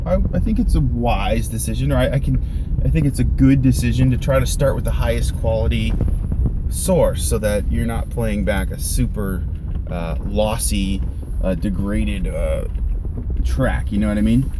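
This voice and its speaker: A man giving a casual, unscripted vlog explanation in a halting, conversational way, thinking aloud as he goes. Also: mid-range voice, background noise. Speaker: volunteer church live sound tech, vlogger.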